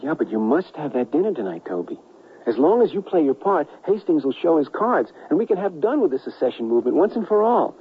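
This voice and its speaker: Animated, husky, earnest reporter. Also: male voice, a little high, a little fast, highs cut off, full character voice. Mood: urgent, resolute. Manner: animated, emphatic, concerned. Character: earnest, brave male reporter, loyal friend. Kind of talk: old-fashioned radio drama acting. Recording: band-limited radio broadcast.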